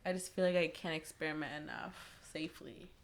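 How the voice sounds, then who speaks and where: Slightly low-voiced young American woman. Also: level tone, fairly quick, slight background noise. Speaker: anonymous testimony participant. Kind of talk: casual candid conversation.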